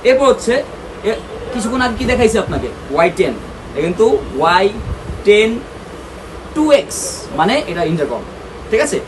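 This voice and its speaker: A man's voice: sits high, sing-song, normal speed, long pauses. A man with a Bangladeshi accent giving a casual promotional product showcase as a shop presenter, talking straight to viewers with English words and check-in tags mixed in. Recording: clearly noisy, ambient background sound.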